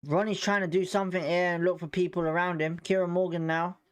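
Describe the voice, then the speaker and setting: A man's voice: sits high, little pitch movement, fast talker, clear, resonant tone. A man with a British accent giving informal live football commentary as a fan host, blunt, slangy and sweary in his reactions.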